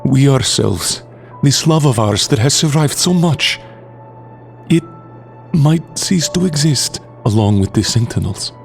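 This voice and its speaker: An actor playing a big, gentle, doubting Russian husband in an audio drama, speaking earnestly in formal, deliberate phrases. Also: male voice, medium pitch, animated, vocal fry, soft background music. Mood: troubled, doubting.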